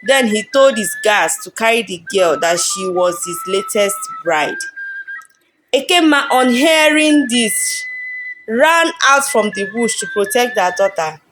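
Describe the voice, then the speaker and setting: A woman's voice: fairly high pitch, expressive, unhurried, over music, low-quality recording. A woman working as a storyteller, narrating a scripted folktale.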